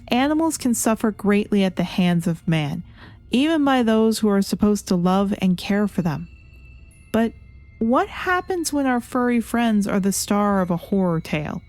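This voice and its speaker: A woman's voice: mid-range voice, darkish tone, dry recording. A woman hosting a review show, reading a scripted video essay with a dramatic, emphatic storytelling delivery. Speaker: host of a comic review show.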